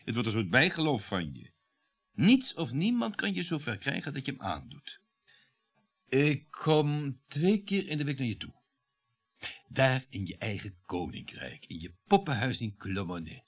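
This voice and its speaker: Radio play: irritable middle-aged surgeon husband, sardonic, needling, raspy, animated. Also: male voice, medium pitch, a little slow. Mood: needling, bitter.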